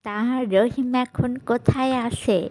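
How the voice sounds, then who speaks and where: A woman's voice: fairly high pitch, steady tone, measured pace, darkish tone, natural voice. A woman playing a stern older village mother in a cartoon, questioning and scolding. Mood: questioning, displeased. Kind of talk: cartoon voice acting.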